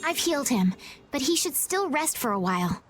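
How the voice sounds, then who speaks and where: Gentle, high-voiced young healer. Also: female voice, big pitch swings, normal speed, faint music behind. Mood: concerned. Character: gentle, polite, hopeful young woman healer. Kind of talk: English video game dub acting.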